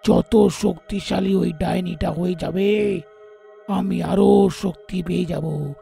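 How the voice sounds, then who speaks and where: An actor playing a sinister, power-hungry sorcerer in a horror story, gloating in a gravelly voice. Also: male voice, sits high, fairly even intonation, dark tone, soft background music, heavily acted. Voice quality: gravelly, creaky. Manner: slow, deliberate, gloating.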